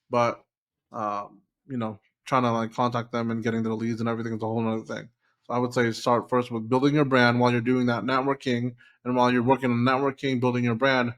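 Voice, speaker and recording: A man's voice: medium pitch, flat delivery, brisk. A man answering interview questions in a loose, casual way, with frequent ums and you-knows. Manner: loose and conversational, with many fillers like um and you know. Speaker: guest, short-term rental company founder.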